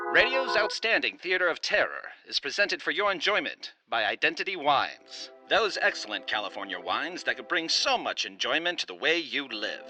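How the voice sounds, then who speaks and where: A man announcing in a formal, theatrical old-time radio style, as if presenting a sponsored show. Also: a little high. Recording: announcement over music. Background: prominent music.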